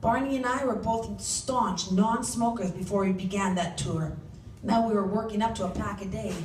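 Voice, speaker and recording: A woman reading a first-person story aloud as its author, with an animated, dramatic delivery. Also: on the high side. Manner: animated, acts out shouted dialogue. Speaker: author reading from their own novel. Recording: roomy, distant mic, faint background noise.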